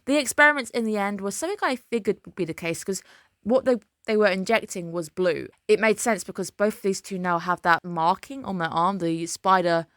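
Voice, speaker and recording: A woman's voice: medium pitch, a little fast, a bit bright, no room sound, clean studio sound. A woman, a little under the weather, chatting casually and opinionatedly through an anime episode breakdown as the channel's host. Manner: opinionated, chatty, emphatic dislikes. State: slightly ill, under the weather.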